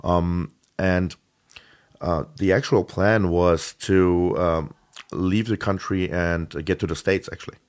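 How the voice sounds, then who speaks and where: Deep-voiced man, halting with fillers. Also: fairly even intonation. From Iran. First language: Armenian. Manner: hesitant, many fillers and restarts. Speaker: guest. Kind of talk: conversational interview.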